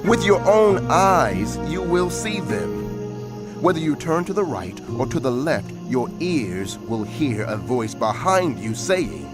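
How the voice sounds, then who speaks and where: Earnest, expressive male prophet, over music. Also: fairly high pitch. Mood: tender, earnest. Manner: measured, earnest, proclaiming. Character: prophet narrating, earnest male herald of God. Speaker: narrator. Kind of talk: dramatized scripture narration.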